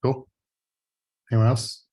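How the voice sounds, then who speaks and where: A man's voice: slow, dark tone. A man in his forties casually moderating a work video call as its host. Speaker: meeting host.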